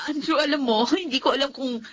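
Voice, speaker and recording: A brash, jealous young woman in a radio play, as a woman plays her, with a bright, sharp, fairly high voice. She mocks and taunts, quick to fling an insult. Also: slight background noise.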